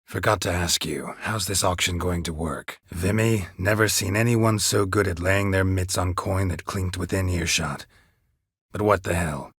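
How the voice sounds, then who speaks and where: Very husky, deep gruff monster hunter. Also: male voice, moderate pace, no room sound, heavily acted. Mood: wry. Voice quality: husky, gravelly low voice. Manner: terse, clipped, deadpan, dry. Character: gruff, weary, stoic male monster hunter for hire. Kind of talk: video game character dialogue.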